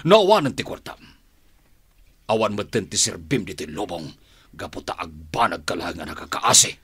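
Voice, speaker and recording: A man with an Ilocano accent acting in a radio drama as a doting, indulgent husband, with a husky voice. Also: low voice, sing-song, normal speed, a bit bright, full character voice. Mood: scornful, worked up.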